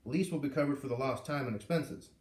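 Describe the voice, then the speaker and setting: A man's voice: medium pitch, fast talker, darkish tone, natural voice. A man reading the part of a grumpy, blunt construction foreman in a storybook. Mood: argumentative. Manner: gruff, blunt, argumentative. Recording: echoey room, far from the mic.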